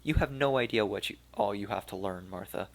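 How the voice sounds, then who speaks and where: A man voicing an elderly, weary archaeologist of Turco-German origin, speaking slowly and regretfully in a gravelly voice. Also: lowish voice, lightly acted. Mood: resigned, fatherly. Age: older.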